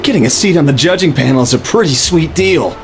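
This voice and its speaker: A man's voice: on the high side, expressive, fast, slight background noise. A man playing a pleased, chatty diner serving as a contest judge in a video game. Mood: pleasantly surprised.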